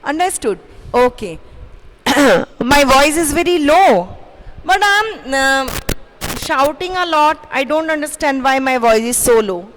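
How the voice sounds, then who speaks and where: A young woman teacher with a Bengali English accent, giving an online science class to children, halting with many fillers and putting questions to pupils. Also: high-pitched, lively intonation, fairly bright tone. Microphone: microphone in front of her. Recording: roomy reverb, faint hiss.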